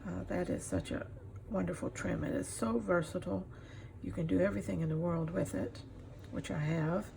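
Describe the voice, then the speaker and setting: A middle-aged woman giving a casual craft demonstration as she works, pausing often to think. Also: lowish voice, lively intonation, warm tone. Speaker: craft tutorial host. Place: indoors at a craft table. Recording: clear background noise, room ambience.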